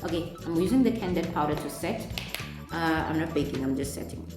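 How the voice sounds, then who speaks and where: A woman's voice: sits low, a little fast. Chatty South African woman in echoey room. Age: young adult. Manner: animated, replays dialogue in a raised voice. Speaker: beauty vlogger. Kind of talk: chatty get-ready-with-me storytime. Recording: echoey room with music behind.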